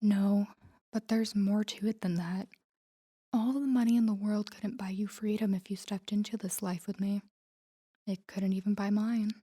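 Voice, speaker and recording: A woman performs a female crime boss confiding in a lover in an intimate audio drama. The voice is warm and relaxed with a dark, mellow tone, often sinking close to a whisper. The delivery is measured and earnest, guarded but tender. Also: medium pitch, breathy edge, natural voice. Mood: guarded, confessional. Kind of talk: intimate scripted audio roleplay addressed to the listener.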